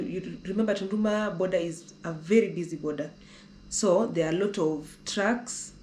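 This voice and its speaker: A woman's voice: lowish voice, darkish tone, echoey room. A young woman from Kenya chatting casually about a road trip on a living-room sofa, repeating herself and restarting sentences often. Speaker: travel vlogger. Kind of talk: casual conversational travel talk.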